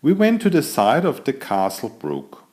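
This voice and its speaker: A male author narrates his own children's bedtime story. His pace is unhurried, and his pitch rises and falls expressively as he reads. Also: huge pitch swings, darkish tone.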